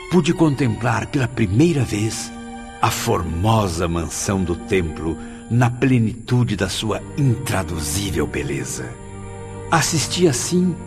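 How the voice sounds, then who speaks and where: A man's voice: lowish voice, vocal fry, full character voice. An actor playing a grateful, solemn male spirit in a radio drama, speaking in a florid, declamatory way. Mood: awed, solemn. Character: Camilo, grateful, solemn male spirit recalling his past.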